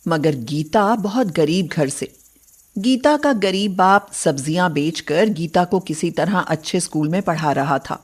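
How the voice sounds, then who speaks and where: A woman's voice: on the low side, darkish tone. A woman narrating a scripted story as the storyteller.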